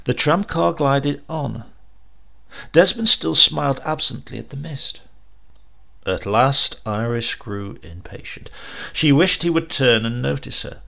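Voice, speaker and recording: A man narrating a horror story in a theatrical, expressive way, with deliberate drawn-out pauses. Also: mid-range voice, vocal fry. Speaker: audiobook narrator.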